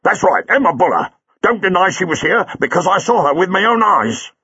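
A male narrator puts on a furious cab driver, pitched well above his own voice and shouting his demands in rough, broken grammar. The sound is dull and dry, like a close studio read. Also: very animated, brisk, dry recording, exaggerated voice. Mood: insistent. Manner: blunt, furious, dropped grammar. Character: angry working-class male cab driver.

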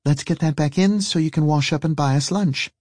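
Audiobook role: guarded, dry woman on the road , played by a male narrator, raised pitch, brisk and formal. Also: darkish tone, no echo, lightly acted. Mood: brisk, polite.

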